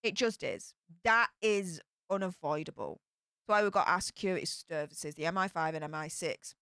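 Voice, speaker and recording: A woman with a broad Yorkshire accent hosts a casual live stream. She reads out viewer comments and chats back at them, and her voice is bright and smooth. The sound is close and dry, with no room around it. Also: on the low side, lively intonation, normal speed, dry recording. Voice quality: broad Yorkshire slang and greetings. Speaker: livestream host reading chat.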